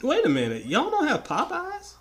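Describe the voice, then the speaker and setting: Slightly raspy, playful male podcast co-host. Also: very high voice, huge pitch swings, normal speed, fairly bright tone. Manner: playful, emphatic, repeats words for effect. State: getting over the flu. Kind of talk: casual opinionated banter.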